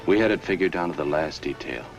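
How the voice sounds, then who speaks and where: An actor playing a stubborn, cocky young convict in a 1950s film noir. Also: male voice, deep voice, expressive, fast, vocal fry, muffled recording. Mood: stubbornly confident. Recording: distant old film soundtrack, music behind.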